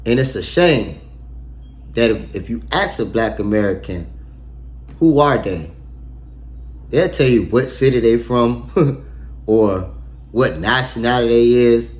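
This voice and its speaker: A man's voice: mid-range voice, expressive. A man reading scripture verse by verse and explaining it in casual, slangy, emphatic talk with rhetorical questions. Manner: asks rhetorical questions and pauses for effect. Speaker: online bible teacher. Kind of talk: casual verse-by-verse bible breakdown.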